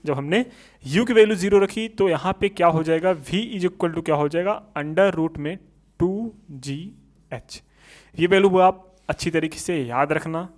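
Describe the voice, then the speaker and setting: A man teaches an exam coaching class in a high-pitched voice. He turns each point into a question and repeats it, often checking that students follow. English technical terms are mixed into his explanations. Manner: switches between Hindi and English technical terms. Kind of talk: exam coaching lecture at a board.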